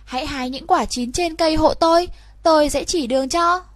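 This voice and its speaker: A woman voicing a talking apple tree in a storybook, measured as it bargains. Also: high voice, no echo, close to an everyday voice. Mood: measured request. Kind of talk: storybook reading.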